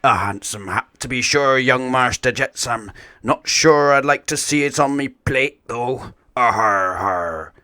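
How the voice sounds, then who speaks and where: Storybook pirate captain, played by a male reader: jovial, hearty, forceful and bright, full of salty arrs. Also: medium pitch, a little slow, dry recording, studio quality, pitched above the performer's own voice. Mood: jovially unimpressed.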